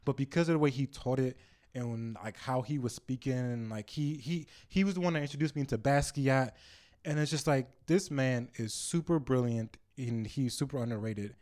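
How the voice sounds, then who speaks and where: A man's voice: mid-range voice, normal speed, darkish tone. Young male podcast guest, non-native English, mid-range voice, loose slangy delivery. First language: not English. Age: young adult. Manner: loose, slangy, profane, trails off with fillers. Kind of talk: casual chat.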